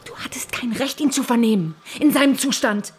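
An indignant female investigator, played by an actress, with a high, quick, very animated delivery. She sounds challenging, in a radio crime drama. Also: a little hiss or hum, natural voice.

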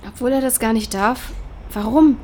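A young actress playing a grieving, searching daughter in a naturalistic audio drama, talking colloquially and insistently. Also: female voice, fairly high pitch, moderate pace, darkish tone, close to an everyday voice. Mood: doubtful. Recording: clear background ambience noise.

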